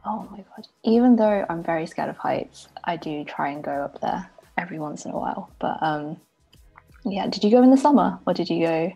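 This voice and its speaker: A woman's voice: fairly low pitch, lively intonation, brisk, creaky, warm tone, husky, dull audio, soft background music. A young woman from Taiwan, an illustrator chatting as a guest over a video call from home, often giggling. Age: young adult. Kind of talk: casual interview chat.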